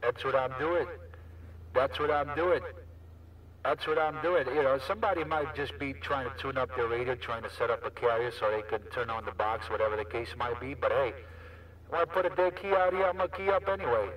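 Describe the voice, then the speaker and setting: A man's voice: medium pitch, brisk, a bit bright, slight background noise. A man chatting casually as a CB radio operator, with repetitive, slangy patter. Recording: distant CB radio transmission.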